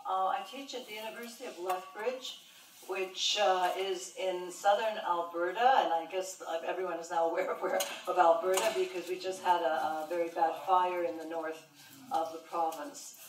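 A woman's voice: fairly low pitch, steady tone, normal speed, slight background noise. An older woman from Montreal, a professor and translator, answering audience questions in a thoughtful way with many fillers. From Montreal, Canada. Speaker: professor and translator giving a talk. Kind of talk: lecture and audience Q&A. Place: large lecture room with an audience. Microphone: podium microphone. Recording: roomy hall sound, mic heard from a distance.

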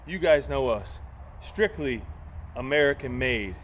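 A man's voice: medium pitch, animated, a little slow. A man in his thirties, a gym owner, casually walking a camera through his new gym build-out, talking loose and profane with lots of fillers. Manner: laid-back, profane, filler-heavy. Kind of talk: casual vlog walkthrough. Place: large empty warehouse gym space. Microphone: far camera. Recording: echoey warehouse with long reverb, noisy.

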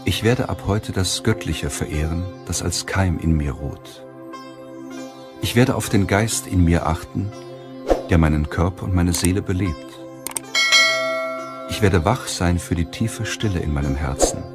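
A man narrating an audiobook in a measured, solemn way, reading from a script. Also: low-pitched, loud music behind the voice.

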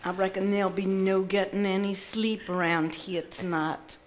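A woman's voice: medium pitch, steady tone, moderate pace, a little hiss or hum, close to an everyday voice. A woman playing a stern, bossy housekeeper in a stage play, with a folksy Southern US accent and an emphatic manner. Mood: ominous warning. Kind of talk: live stage play acting.

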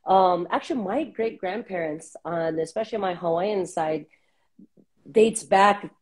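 A woman in her thirties from Southern California, a guest talking reflectively over a video call on earbuds, with many pauses and fillers. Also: on the low side, breathy edge. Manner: warm, reflective, many ums. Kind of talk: casual interview on a video call. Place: small room indoors.

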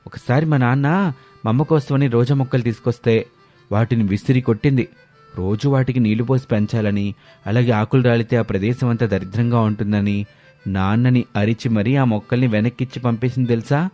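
Mild young Telugu husband voiced by a man, medium pitch. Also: fairly even intonation, a little fast, soft background music, natural voice. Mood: mild, explaining. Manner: gentle, placating.

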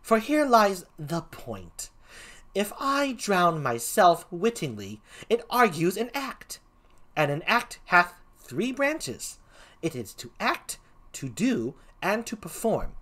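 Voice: mid-range voice, very animated, slow, fairly bright tone, voice pushed up, exaggerated voice. A performer voicing a cocky male clown gravedigger in a stage play, pompous and mock-learned as he declaims. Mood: pompous, declaiming.

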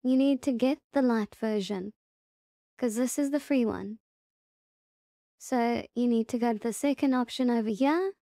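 A woman with a fairly high, soft and mellow voice walks through a software tutorial. She speaks slowly and haltingly, one step at a time, in a casual way. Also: dry recording, clean studio sound. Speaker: tutorial host.

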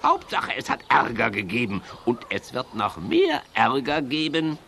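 An actor playing a grumpy, scheming villain creature in a children's radio play, bossy, impatient and gleefully mean. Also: male voice, mid-range voice, huge pitch swings, unhurried, vocal fry, pushed and bright, slight background noise, heavily acted. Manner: exclaiming, domineering, impatient.

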